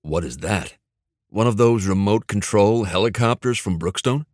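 A man voicing a gruff, low-key, skeptical male character in an audiobook, speaking in a dry, casual way. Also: moderate pace, darkish tone, no echo, natural voice. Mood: dry, subdued.

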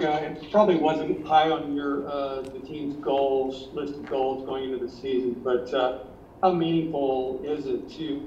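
A man's voice: medium pitch, a little mellow. Male reporter off-mic in an echoey room, dull sound, faint hiss. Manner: loose, halting question with fillers. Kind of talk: press conference question. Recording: distant, roomy, dull audio.

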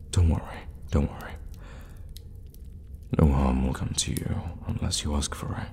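Man playing a very deep, seductive evil warlock. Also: darkish tone, exaggerated voice. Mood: possessive, soothing. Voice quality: breathy low murmur, often whispering. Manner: slow, teasing, halting for effect. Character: seductive, menacing, sadistic male evil warlock. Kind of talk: intimate ASMR roleplay acting. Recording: long reverberant cave-like echo.

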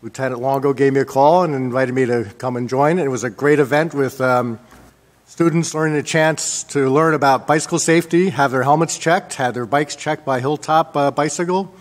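A man in his sixties with an American accent gives measured mayoral remarks at a public meeting, pausing often as he works from notes. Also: mid-range voice. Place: indoor council chamber. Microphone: gooseneck desk microphone.

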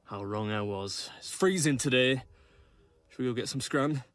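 A man's voice: mid-range voice, moderate pace, a bit bright. A man in his thirties with a Northern English accent, narrating a food challenge vlog with dry, self-mocking humor. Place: car and restaurant.

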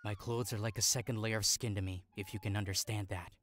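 A man's voice: fairly low pitch, fairly even intonation, fast, fairly bright tone, lightly acted. A man voicing a melodramatic, anxious teenage student council president in an anime fan dub. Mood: gloomy, dramatic. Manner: overdramatic.

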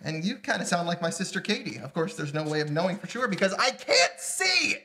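A man's voice: high-pitched, sing-song, fairly quick, pushed and bright, exaggerated voice. Man acting an exasperated brother, sarcastic, put-upon, young, comedy script read. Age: young adult.

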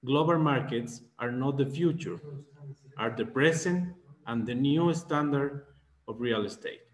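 Ecuadorian man, 30s, association president, stiff prepared speech, roomy call audio. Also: mid-range voice. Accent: Ecuadorian Spanish. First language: Spanish. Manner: formal, measured, slightly halting English. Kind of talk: reading a formal welcome speech. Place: small plain room, indoors. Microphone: video call. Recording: echoey room on video call.

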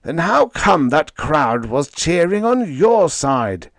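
A man's voice: a little high, big pitch swings, takes it slowly, gravelly, no echo, clean studio sound, pitched above the performer's own voice, exaggerated voice. A man doing a screeching, hot-tempered manager. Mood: outraged. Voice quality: screeching, howling. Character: blustering, hot-tempered male wrestling manager.

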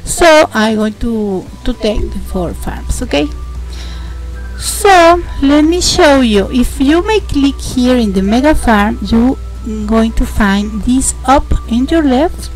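A young woman with a Mexican accent, a host narrating a casual game tutorial, halting and repeating words as she explains. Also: high voice, lively intonation, faint music behind, low-quality recording. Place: home, indoors.

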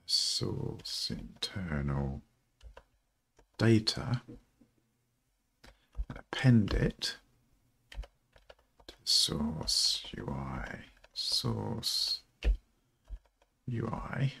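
A middle-aged man with a rough morning voice, thinking aloud slowly and haltingly with many ums while he codes at his desk. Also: lowish voice, very animated, sharp tone. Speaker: programmer streaming a coding session.

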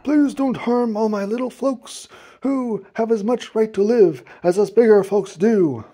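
Man voicing an earnest, high-pitched storybook elephant. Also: very animated, a little slow, warm tone, slightly rough, heavily acted. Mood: pleading, determined. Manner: emphatic, sincere, pleading. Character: earnest, gentle male elephant, protective and worried.

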